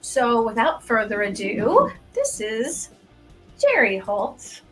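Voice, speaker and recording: Slow-talking woman co-hosting a chat. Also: a little high, huge pitch swings, a bit bright, soft background music, rough recording. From Pennsylvania. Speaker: podcast co-host. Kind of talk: casual chat.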